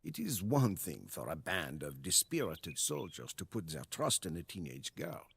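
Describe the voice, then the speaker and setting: An actor playing a solemn soldier chronicler, narrating a game story in a measured, grave and dramatic way. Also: male voice, deep voice, wildly swooping pitch, vocal fry, slightly rough, full character voice. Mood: solemn, reverent. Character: solemn French soldier chronicling a war as memoir.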